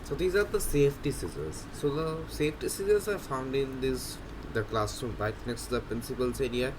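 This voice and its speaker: A man's voice: mid-range voice, expressive, normal speed, noisy background. A man giving a casual, rambling walkthrough commentary of a video game. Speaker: gaming video host. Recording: loud game music under the voice. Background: loud game music.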